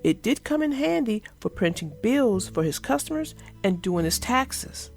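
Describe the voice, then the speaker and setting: A woman reading a children's storybook aloud as narrator, in an expressive storytelling manner. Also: fairly high pitch, moderate pace, creaky, soft background music. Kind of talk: scripted storybook read-aloud.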